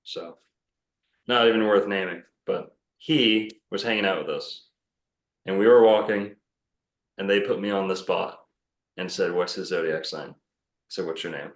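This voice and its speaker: A man's voice: low voice, steady tone, normal speed, long pauses, echoey room. A man talking casually as a podcast guest, rambling and self-assured with many fillers and restarts. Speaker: podcast guest, bookstore owner.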